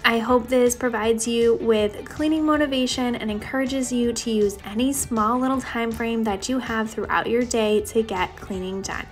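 Female home vlogger, young adult, fairly high voice, casual chatty voice-over over background music. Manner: chatty, addressing viewers directly. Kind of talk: casual voice-over narration to viewers.

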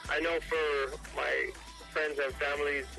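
A young man from Hawaii answers questions casually as a sports recruit. His voice is bright and sharp with fairly even intonation, over faint music. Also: a little high, a little slow, creaky. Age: late teens to young adult. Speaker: high school football recruit.

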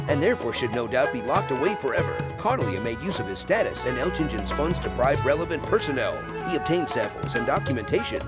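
A man plays a formal, serious officer delivering a written report in a video game. His mid-pitched voice is measured yet varied in intonation, with loud music behind it. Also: lightly acted. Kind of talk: scripted reading of a letter.

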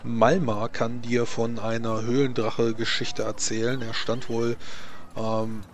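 A man improvising narration as game master of a tabletop roleplaying game, haltingly searching for words. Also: mid-range voice, level tone, slight background noise.

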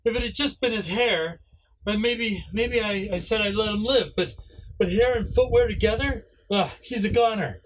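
A man's voice: high voice, normal speed, close to an everyday voice, poor audio. A man playing a wounded, defiant, sarcastic robber in an amateur radio play. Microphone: VHF radio play recording. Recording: faint background noise over marine radio.

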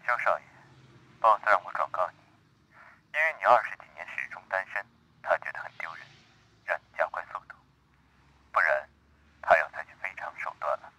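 Male actor as dutiful aide, very low, husky yet forceful, audio drama. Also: lively intonation, a little fast, pauses often, no echo, full character voice, low-quality recording. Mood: formal. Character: deep-voiced dutiful male assistant relaying the boss's orders. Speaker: assistant to a boss.

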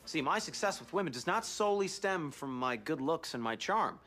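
An actor playing a smug, vain playboy who lectures methodically and pompously, as in a sitcom presentation. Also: male voice, on the high side, animated, a little fast, a bit bright, faint music behind. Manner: pompous, methodical, self-satisfied.

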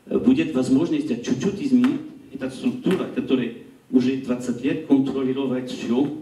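Middle-aged French-accented man lecturing in a gallery hall, halting and searching for words, mellow tone, picked up from a distance. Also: mid-range voice. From France. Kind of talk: public lecture. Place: small gallery hall, indoors. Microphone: handheld mic. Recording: roomy hall recorded from afar.

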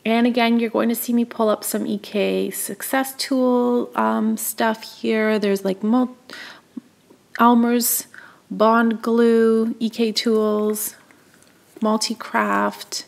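Chatty woman with a gravelly creak. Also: on the high side, level tone, slow. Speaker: craft shopping vlogger. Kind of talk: casual shop-with-me narration. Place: indoors, in a store aisle.

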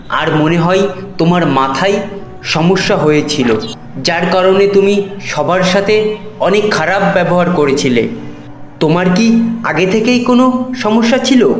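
Man playing a slow, firm skeptical young man. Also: high-pitched. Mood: reasoning. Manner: firm, questioning, measured. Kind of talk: animated ghost story acting. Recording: echoey, with faint music behind.

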